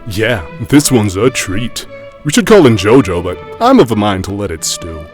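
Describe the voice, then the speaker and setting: A man's voice: lowish voice, huge pitch swings, normal speed. A man playing a sardonic, articulate forum member, bluntly and analytically picking apart claims in a dramatic reading. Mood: dry amusement. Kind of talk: dramatic reading of a written rebuttal. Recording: music under the voice.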